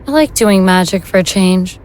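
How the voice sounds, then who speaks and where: A woman's voice: on the low side, moderate pace, darkish tone, smooth, natural voice. An actress playing a spirited monster-fighting woman in an audio drama, with a natural voice. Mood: curious, concerned.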